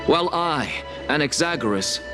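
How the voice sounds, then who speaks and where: A man's voice: on the high side, animated, normal speed, natural voice. A man voicing a proud, stern scholar and teacher in a video game, with an imperious, cool and theatrical manner. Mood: haughty, defiant. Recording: music behind the voice.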